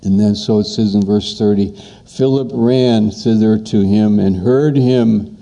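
A man preaching a sermon as a pastor, emphatic and repetitive, with pauses between phrases. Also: on the low side, vocal fry, warm tone.

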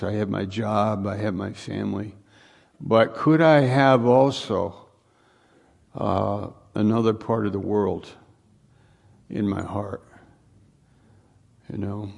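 A male pastor speaking informally to his congregation, halting and repeating words as he goes, often putting questions to them. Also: lowish voice, vocal fry, darkish tone. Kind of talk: informal sermon, welcoming guests to congregation. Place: live church service.

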